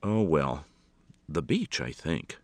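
A man voicing a sad, meek gentleman in a storybook, hesitant and apologetic, with halting, stammering speech. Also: rumbling low, wildly swooping pitch, gravelly, no room sound, full character voice. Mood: resigned, mournful.

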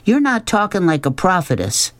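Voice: mid-range voice, expressive, a little slow, darkish tone, no echo, voice pushed up, lightly acted. A narrator voicing a proud, righteous young girl in a children's audiobook, insistent, clipped and accusing. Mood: argumentative.

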